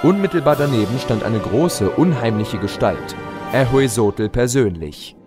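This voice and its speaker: A man's voice: medium pitch, measured pace, over music, close to an everyday voice. A man playing an eager adventurous pony hero, expressively narrating his tale in an audio drama. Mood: storytelling. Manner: expressive, storytelling.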